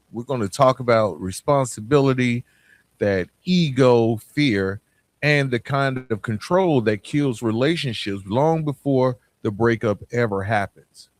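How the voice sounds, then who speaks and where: A man hosting a podcast, giving a reflective motivational monologue, speaking directly to the listener with emphatic pauses. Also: mid-range voice. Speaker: podcast host.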